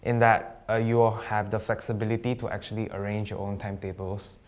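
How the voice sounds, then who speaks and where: A young man from Sabah, a student guest chatting casually on a live stream, relaxed and jokey with slang and hesitant fillers. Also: on the low side, fairly quick. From Sabah, East Malaysia. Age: young adult.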